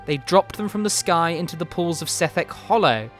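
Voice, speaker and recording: A man reading a fantasy story aloud as narrator, with dramatic, deliberate phrasing. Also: a little high, expressive. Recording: music behind the voice. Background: background music.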